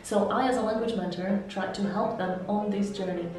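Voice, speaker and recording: A woman from Slovakia with a Slovak accent, an interpreter and language mentor, giving measured, explanatory interview answers. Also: mid-range voice, fairly even intonation. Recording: echoey room, heard from some distance, soft music.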